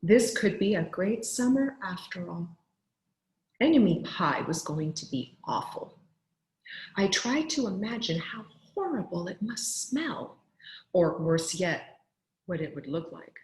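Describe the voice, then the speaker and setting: A woman teacher reading aloud to children in an expressive storybook style, with deliberate, clearly phrased delivery. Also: on the low side, roomy sound, low-quality recording.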